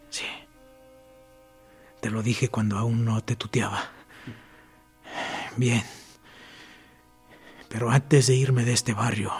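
An actor playing a dead male prisoner in a radio drama, a calm, mocking voice that speaks in a gravelly half-whisper with dry sarcasm. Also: mid-range voice, exaggerated voice. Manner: dry, sardonic, probing.

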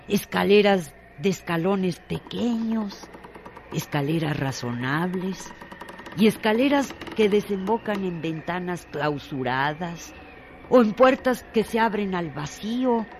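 A man narrating a radio drama from a literary script, with deliberate, dramatic phrasing and pauses. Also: sits high. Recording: noisy recording with clear background noise.

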